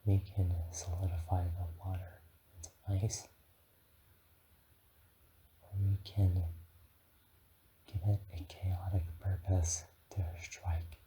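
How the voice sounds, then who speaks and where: A man voicing a gentle young dragon guide in a soft, often whispered ASMR guided meditation, speaking soothingly. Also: deep voice, slight background noise, low-quality recording. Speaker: ASMR performer.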